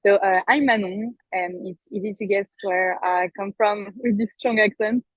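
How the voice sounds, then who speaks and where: A woman's voice: medium pitch, fairly even intonation, moderate pace, no echo, phone-quality sound. A woman introducing herself on a podcast in an easygoing way. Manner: easygoing, listing with fillers. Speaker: event manager.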